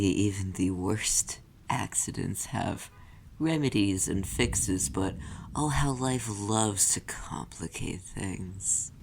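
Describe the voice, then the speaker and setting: A man playing a silky, possessive demon in an ASMR roleplay, speaking deliberately and teasingly in a low, gravelly voice. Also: darkish tone, slight background noise, full character voice. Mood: wry, unhurried storytelling. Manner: slow, deliberate, teasing, theatrical. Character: possessive, silky demon claiming an owned soul.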